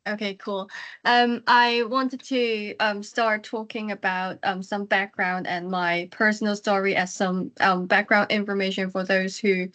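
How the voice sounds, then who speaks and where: A woman of about twenty with a Hong Kong accent, giving prepared advocacy remarks in an earnest, deliberate way on an online panel. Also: medium pitch, flat delivery, a bit bright. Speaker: activist, panel speaker. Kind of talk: prepared webinar testimony and advocacy. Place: online webinar call.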